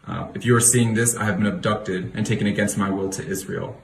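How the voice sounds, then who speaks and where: A man from the United States giving a calm, measured recorded statement as an activist. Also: on the low side, creaky, a little hiss or hum.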